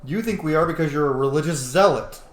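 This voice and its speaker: A young man casually commenting on a game he plays, animated and swearing freely as he reacts. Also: on the high side, fast. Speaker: roleplay game streamer. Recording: roomy room with faint noise.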